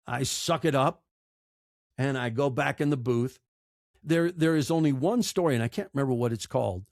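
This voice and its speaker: A man's voice: mid-range voice, no echo, studio quality. A middle-aged American man giving candid, conversational interview answers in an earnest, emphatic, emotional way. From United States. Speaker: interview guest, audiobook narrator and podcaster.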